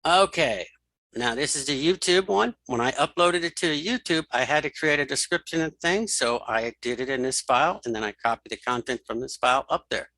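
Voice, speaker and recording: A middle-aged man hosting a screen reader software tutorial, informally thinking aloud and repeating key steps. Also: medium pitch, brisk, dry recording. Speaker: tutorial host and web developer.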